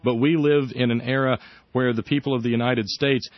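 A man hosting a radio talk show, speaking in a measured, earnest way. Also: medium pitch, fairly even intonation, dull audio. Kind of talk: radio interview.

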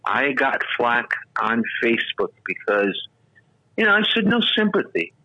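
A man's voice: medium pitch, sing-song, normal speed, bright, forceful tone, breathy edge, no room sound. A man in his sixties, a radio talk show host, telling stories in a rambling, casual way full of fillers and restarts. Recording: dull, lo-fi radio audio.

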